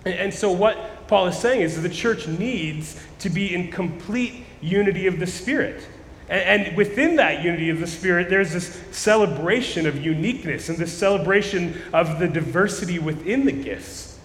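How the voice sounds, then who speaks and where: A male pastor, a little hoarse, delivers a conversational sermon with a fairly high voice. His words ring through a large, echoey church hall. Faint ambience sits under the speech. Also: moderate pace, a little hiss or hum. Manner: casual, conversational preaching with frequent restarts. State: slightly hoarse, losing his voice. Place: church sanctuary.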